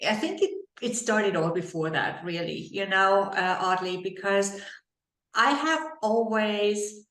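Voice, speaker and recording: A woman from Germany with a German accent, a guest on a podcast talking about her life in a relaxed, reflective way and laughing lightly now and then. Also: medium pitch, slow, echoey room, low-quality recording. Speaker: podcast guest, leadership coach. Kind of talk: casual interview conversation.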